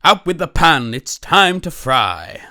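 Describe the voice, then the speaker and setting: A woman voicing a fussy, hungry, commanding male king with slow, emphatic, bossy delivery in a children's storybook. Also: sits low, big pitch swings, sharp tone, exaggerated voice. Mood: bossy, eager.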